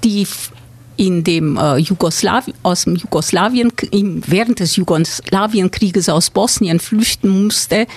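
A woman's voice: fairly low pitch, a little slow, a little mellow, slightly rough. A woman from Romania, a guest in a casual interview, speaking hesitantly with many fillers. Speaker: guest, exhibition contributor.

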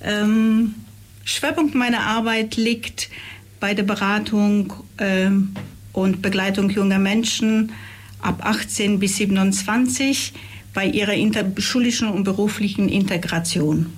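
Woman, 50s, migration counselor, measured, hesitant fillers, radio guest. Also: mid-range voice, steady tone.

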